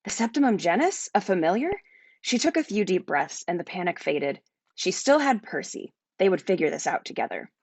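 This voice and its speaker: Woman, children's audiobook narrator, storytelling, very lively intonation, dry close recording. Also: lowish voice, a little fast, husky, no echo. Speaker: author reading own book aloud.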